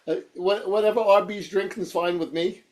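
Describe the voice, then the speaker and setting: Nasal, high-pitched New York man, rambling. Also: fairly even intonation, fairly quick, darkish tone. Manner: rambling, stammering, repeats words and says "you know" often. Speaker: guitarist being interviewed. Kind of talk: casual chat.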